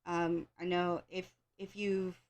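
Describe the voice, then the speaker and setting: A woman's voice: on the low side, flat delivery, slow. An American woman hosting a wrestling show in casual, rambling chat, with a slightly congested, scratchy voice and an informal, opinionated fan's way of talking. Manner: opinionated, informal fan talk with repeated phrases.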